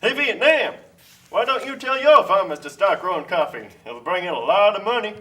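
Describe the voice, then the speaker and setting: A man's voice: high voice, expressive, moderate pace, fairly bright tone, far from the mic. A man playing a pushy, confident free-trade adviser in an amateur puppet show, declaiming like a salesman.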